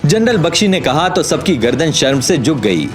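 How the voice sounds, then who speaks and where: A man narrates a scripted audio drama with suspense and emphasis. His voice is fairly high and swings widely in pitch. Soft music runs underneath. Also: very animated, normal speed. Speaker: story narrator.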